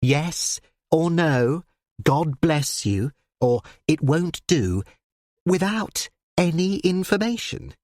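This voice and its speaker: A reader voicing a cool, sardonic elderly male judge who speaks in precise, measured phrases. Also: mid-range voice, animated, a little mellow, no room sound, clean studio sound, pitched above the performer's own voice.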